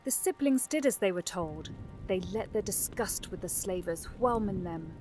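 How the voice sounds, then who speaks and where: A woman's voice: medium pitch, animated, normal speed, over music. A woman giving scripted narration for a fantasy audio drama.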